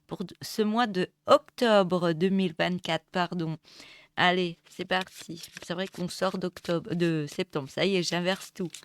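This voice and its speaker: A woman with a cold and a hoarse voice, giving a casual tarot reading with many hesitations and restarts. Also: on the low side, steady tone, measured pace, no room sound. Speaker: tarot reader. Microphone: close mic. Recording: dry close mic.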